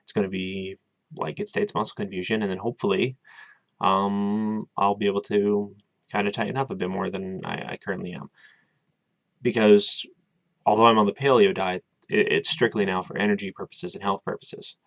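A man's voice: lowish voice, flat delivery, moderate pace, dry recording. A young man in his twenties giving a casual, rambling video diary at his webcam, with lots of hesitant ums. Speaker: vlogger. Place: small room with bookshelves, indoors.

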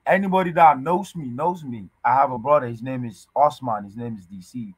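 A young man born in Ghana, chatting casually as a podcast guest over a video call from a small room, halting and full of restarts. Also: mid-range voice, breathy edge, no room sound. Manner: halting, many restarts and fillers, loose slangy talk. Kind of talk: casual conversation. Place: indoors, small room at home.

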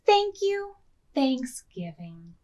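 Higher-pitched woman reading to preschoolers. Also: very slow. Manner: expressive, with questions addressed to small children. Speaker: children's librarian hosting a virtual storytime. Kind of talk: read-aloud and instructions for preschoolers.

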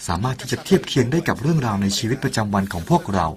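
A man's voice: medium pitch, very animated, rapid-fire. A man narrating a parable in an earnest, persuasive way and speaking directly to the listener. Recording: soft music behind the voice.

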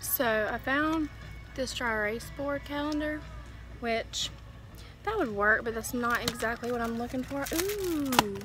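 A woman's voice: fairly high pitch, unhurried, loud music behind the voice. A young woman chatting to her phone camera while browsing a large discount store. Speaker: vlogger. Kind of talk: casual shop-with-me narration. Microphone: phone camera held at arm's length.

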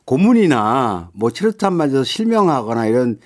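A middle-aged man explaining things as a radio interview guest in a studio, often pausing mid-sentence. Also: mid-range voice, very animated, darkish tone. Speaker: interview guest, activist group chair. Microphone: studio condenser microphone up close.

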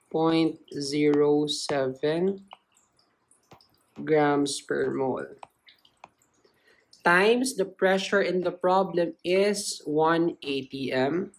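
A woman's voice: low voice, very slow, pauses often, rough recording. A woman tutor narrating a step-by-step lesson in simple, explanatory phrasing. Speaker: chemistry tutor.

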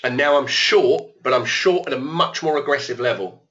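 A man's voice: mid-range voice, normal speed, fairly bright tone, lo-fi sound. A man who is losing his voice a bit, casually talking through live trades as the host of an online trading room. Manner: casual, thinking aloud. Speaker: trading educator.

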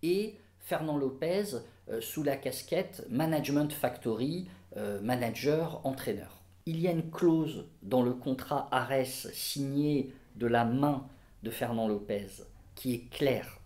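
A middle-aged man, a lawyer, explaining a legal matter in a measured, formal way in an office. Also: mid-range voice, warm tone. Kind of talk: explanatory interview. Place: office indoors.

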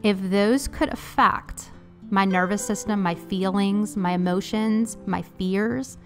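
A woman's voice: mid-range voice, slow, soft background music. A woman in her thirties giving an earnest, encouraging voice-over for her cleaning vlog. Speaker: cleaning vlogger.

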